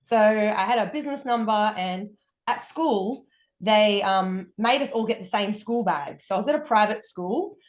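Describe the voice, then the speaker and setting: Australian woman guest, candid and upbeat, mid pitch, roomy echo. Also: animated, normal speed. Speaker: guest, wellness coach. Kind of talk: casual interview storytelling.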